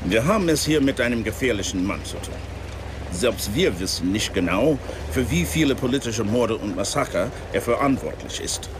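An actor playing a cynical, worldly embassy man in a radio drama, lecturing in a measured, patronising way with veiled menace. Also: animated, lightly acted. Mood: calm, menacing. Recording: noisy ambience with vehicle rumble.

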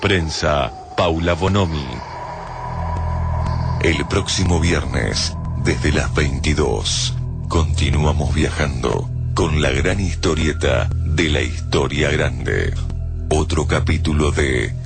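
A man reads a scripted radio drama narration in a measured, solemn announcer delivery, over music. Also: very deep voice.

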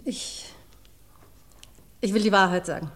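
A woman playing a distressed forty-year-old secretary confessing as a witness in a radio crime play, halting and faltering. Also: medium pitch, lightly acted. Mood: remorseful, resolute. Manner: halting, faltering, repeating herself. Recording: long reverberant courtroom hall.

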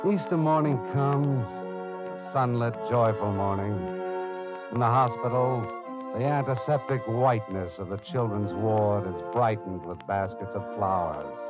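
Casting: solemn editor-storyteller, lowish voice, measured vintage radio drama, music behind. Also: male voice. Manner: measured, dramatic second-person delivery. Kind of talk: old-time radio drama narration. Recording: muffled old radio recording, music behind.

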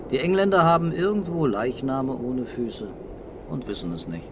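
An actor playing a calm, curious French police commissioner in a radio crime drama, laconic and probing. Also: male voice, medium pitch, normal speed, natural voice. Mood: musing. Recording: loud vehicle noise behind.

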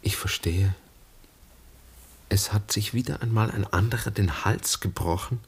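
An actor playing a serious, searching son and brother in a German radio drama, earnest, polite and probing. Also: male voice, fairly low pitch, unhurried, husky, heavily acted. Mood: probing, troubled.

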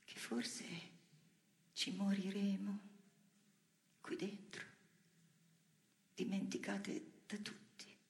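An actress playing a weary, defiant imprisoned dissident in an intimate theatrical monologue, creaky and breathy, often dropping to a whisper. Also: female voice, mid-range voice, completely flat delivery, a little mellow, reverberant. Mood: brooding, bitter. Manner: brooding, drawn-out phrasing.

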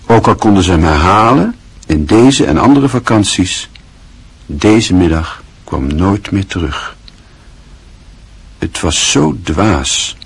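A male narrator with a very deep voice and a strongly husky, rough texture. He reads in a measured, wistful literary style for a radio play. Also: lively intonation, no echo.

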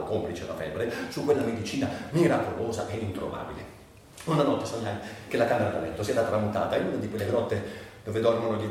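An actor playing a man who recounts his childhood in vivid, excitable, theatrical literary narration. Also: fairly low pitch, close to an everyday voice. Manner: expressive, dramatic storytelling. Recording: echoey, distant, faint background noise.

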